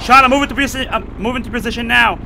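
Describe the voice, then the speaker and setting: A man's voice: extremely high pitch, very fast, gravelly. Male gamer in police roleplay, clipped radio calls; noisy game audio behind.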